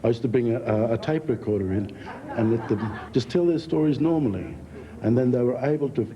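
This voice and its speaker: A middle-aged Australian man talking reflectively in an interview, in a measured, halting way. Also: medium pitch, crowd noise. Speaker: theatre founder, former teacher. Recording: muffled, faintly noisy recording.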